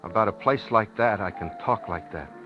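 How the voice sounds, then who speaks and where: A man's voice: lowish voice, moderate pace, natural voice. An actor playing a plainspoken, anxious, guilt-ridden man in a 1950s radio drama. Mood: wistful. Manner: plainspoken, folksy, wistful storytelling. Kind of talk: 1950s radio drama acting with first-person narration. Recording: muffled 1950s radio recording with music.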